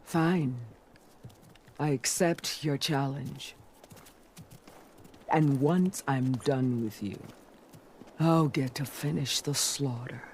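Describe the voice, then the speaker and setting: A woman's voice: a bass voice, slow, pauses often, darkish tone, a little hiss or hum, full character voice. A woman voicing a ruthless, vengeful rebel warlord in a video game, menacing and commanding. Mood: scornful.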